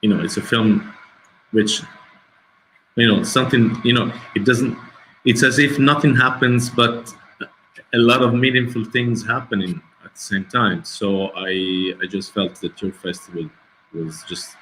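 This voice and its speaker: A middle-aged man speaks over a video call in a lowish, bright voice. He halts often, restarting phrases as he hunts for words in English. A faint room hum sits behind him. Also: measured pace, low-quality recording. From Italy. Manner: hesitant, searching for words, many restarts. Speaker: documentary filmmaker. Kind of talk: festival Q&A answer.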